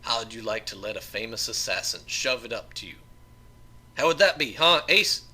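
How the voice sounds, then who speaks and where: Guy doing a crazy jailbird hitman, crude and taunting, really sharp forceful voice. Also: mid-range voice, expressive, normal speed, slightly rough, heavily acted. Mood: threatening. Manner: crude, taunting, bragging, wheedling. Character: demented male convict assassin, crude, bragging, predatory bully.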